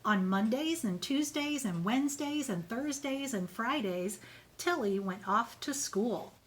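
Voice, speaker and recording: A woman reading a children's storybook aloud in an expressive, measured way, as if to young pupils. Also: fairly high pitch.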